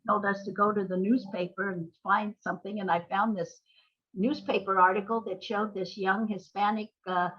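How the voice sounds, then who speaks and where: An older American woman speaking as an interview guest in a thoughtful, measured, articulate way. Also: mid-range voice, fairly even intonation, breathy edge. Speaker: guest, playwright. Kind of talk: reflective interview conversation. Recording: dull, lo-fi sound.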